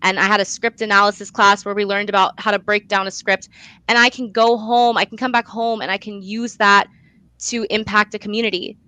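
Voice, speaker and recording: A woman's voice: mid-range voice, fairly even intonation, a little fast, sharp tone, clean tone, dry recording, rough recording. A young adult woman answering in a conversational interview, earnest and emphatic, repeating phrases for stress. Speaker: guest, student actor and playwright.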